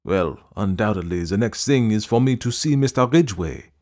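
A man voicing a fussy, precise male detective who asks polite, probing questions in a deliberate way. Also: fairly low pitch, lively intonation, darkish tone, natural voice.

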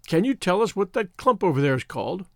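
A man's voice: high-pitched, sing-song, quick pace, fairly bright tone, dry recording, studio quality, exaggerated voice. A man voicing a polite, inquisitive, well-bred schoolboy scout in a storybook reading. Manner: courteous, inquisitive.

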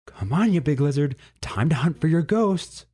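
A man's voice: a little high, lively intonation, normal speed, dark tone, breathy edge, voice pushed up. A man voicing a nervous, sarcastic teenage male bird in a story reading, with a fretful manner. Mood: teasing. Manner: indignant, fretful.

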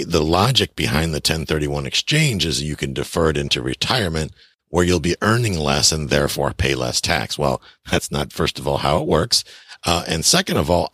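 Very deep-voiced man in his fifties. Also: fairly bright tone, slightly rough, no room sound, clean studio sound. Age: 50s. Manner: blunt, chatty, addresses listeners as guys. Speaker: real estate investing podcast host. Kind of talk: casual advice monologue.

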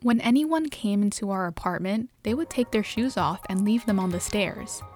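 A young woman from New York narrates memories of her upbringing in a plain, reflective voice of middle pitch. Her delivery is scripted and even. Soft music plays faintly underneath. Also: moderate pace. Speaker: journalist and podcast apprentice.

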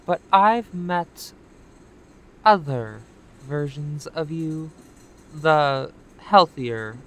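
Smug, slow-talking villainess. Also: female voice, deep voice, fairly bright tone, a little hiss or hum, exaggerated voice, rough recording. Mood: smug, teasing. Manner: theatrical, teasing, condescending. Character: smug, taunting female time-traveling corporate executive villain. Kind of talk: audio drama acting.

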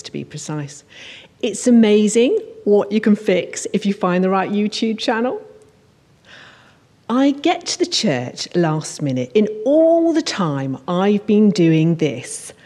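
A woman writer reading her first-person crime fiction aloud at a live event, with an expressive storytelling delivery. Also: medium pitch. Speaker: writer reading own work on stage. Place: on stage at a live showcase event in a hall.

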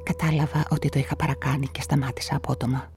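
An actress narrating a radio play in the first person as a nervous events manager, often dropping into confiding whispers. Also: female voice, very deep voice, little pitch movement, a little mellow, soft background music. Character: nervous, talkative female events manager caught up in a murder.

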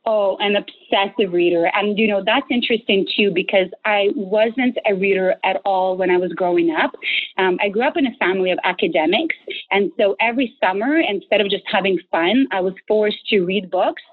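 A woman's voice: medium pitch, normal speed. A woman from Ukraine with a Ukrainian accent, a guest telling stories in a casual interview and laughing often. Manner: laughs often, animated storyteller. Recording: tinny phone-like line.

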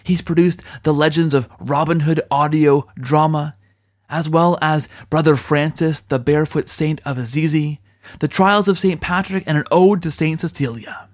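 A man with a slightly high voice, presenting as a podcast host. He speaks effusively and earnestly, in the upbeat style of someone introducing and leading an interview. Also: steady tone, moderate pace.

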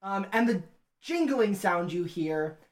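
A woman narrating a tabletop game as game master, animated and often laughing. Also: medium pitch, slow, noisy background.

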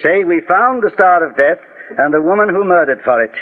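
An actor playing a kindly, shrewd old gentleman detective, measured and formal, in 1940s radio drama. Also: sits high, lively intonation. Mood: composed, satisfied. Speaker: lead detective. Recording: narrow, tinny 1940s radio recording.

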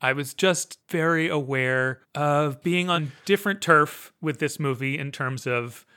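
A man's voice: fairly high pitch, measured pace, sharp tone, smooth. A man co-hosting a film-music podcast, talking in a thoughtful, wry way and chuckling between phrases. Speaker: podcast co-host. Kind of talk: casual conversational film-score podcast chat.

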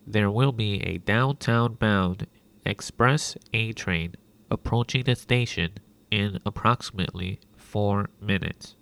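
Guy doing a train station announcer, low n gravelly, measured, official, audiobook bit. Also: a bit bright, exaggerated voice. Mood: impersonal. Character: impersonal subway station announcer.

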